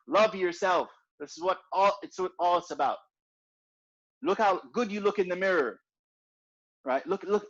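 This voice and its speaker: A man teaching an informal religious class in a conversational way, repeating his points and often asking "right?". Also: high voice. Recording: dull lo-fi recording.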